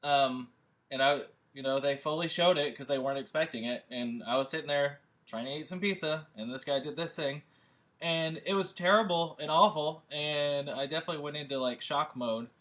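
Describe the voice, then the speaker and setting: Male host, a bit high-pitched, rambling and halting, talking loosely on a video call. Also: a bit bright. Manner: hesitant, many fillers and restarts. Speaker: producer and director hosting a table read. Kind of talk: casual rambling chat to camera.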